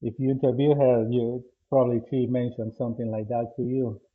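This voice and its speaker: A man in his forties from El Salvador with a Salvadoran Spanish accent, speaking English as a second language. He talks haltingly as a podcast guest, often restarting and repeating words. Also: mid-range voice, level tone, breathy edge. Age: 40s. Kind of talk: casual interview answers. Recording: tinny phone-line audio.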